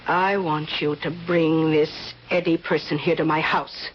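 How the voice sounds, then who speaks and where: A woman's voice: sits low, steady tone, measured pace. An actress playing a domineering, prying, spiteful older rich woman in a radio drama, with an imperious, needling manner. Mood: commanding. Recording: muffled, hissy old radio drama recording.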